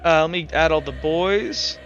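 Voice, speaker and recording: Bright, higher-pitched man running a game. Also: a little slow, lo-fi sound. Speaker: game master. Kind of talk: casual online tabletop game chat. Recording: music behind the voice. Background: music.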